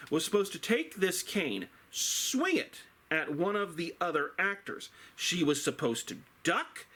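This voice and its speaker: A middle-aged man telling an anecdote like a drama teacher, theatrical and wry, with dramatic pauses and emphatic delivery. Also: on the high side, sharp tone. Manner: self-deprecating, wry.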